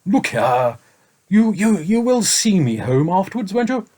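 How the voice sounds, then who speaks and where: A sleepy, good-natured giant dragon, as a man puts him on, murmuring with a husky, raised voice. He sounds contented and slow, with his words drifting off. Storybook reading. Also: high-pitched, big pitch swings, mellow, voice pushed up, full character voice. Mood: coaxing. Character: drowsy jolly big male dragon, easygoing.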